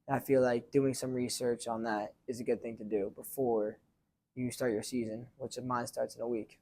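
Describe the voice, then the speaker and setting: Rambling teenage boy, mellow voice. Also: mid-range voice, flat delivery, normal speed, slight background noise. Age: teens. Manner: rambling, lots of fillers and restarts. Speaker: high school lacrosse goalie and captain. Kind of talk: casual interview answers.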